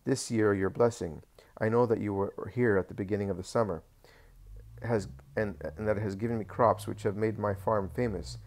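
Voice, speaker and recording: A man voicing a greedy, proud rich landowner with a squeaky, fox-like voice, pleading and boasting. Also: steady tone, moderate pace, a little mellow, lightly acted. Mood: wheedling.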